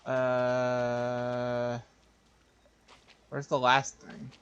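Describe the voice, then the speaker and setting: Slow-talking man streaming a game. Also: medium pitch, completely flat delivery, fairly bright tone, smooth, muffled recording. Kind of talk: casual joking live game commentary.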